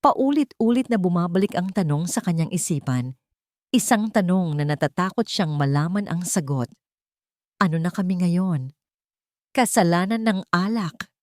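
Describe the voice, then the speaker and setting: A woman with a Filipino accent narrates a scripted dramatic love story in a measured, expressive way. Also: on the low side, dry recording, studio quality. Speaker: narrator of romance stories.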